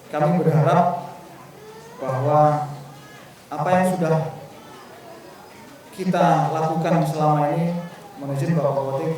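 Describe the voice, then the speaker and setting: A man, a brigade commander, giving a formal anniversary speech at a ceremony, deliberate and pausing often with fillers. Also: a little high, dark tone. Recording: very echoey, distant mic, faint room noise.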